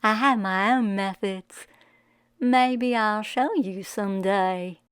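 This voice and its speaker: A woman voicing a folksy, wonderstruck farmer who recalls a magical childhood summer in expressive storybook narration. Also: medium pitch, slow, clear, resonant tone, dry recording, studio quality. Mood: nostalgic, folksy. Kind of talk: storybook first-person narration.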